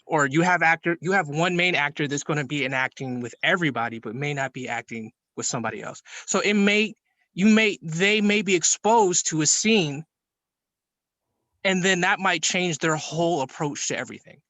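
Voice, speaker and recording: A man's voice: fairly high pitch, expressive, moderate pace, sharp tone, no room sound. A man in an informal class discussion, explaining his opinion emphatically and rephrasing his points as he goes. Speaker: participant in a film class group meeting.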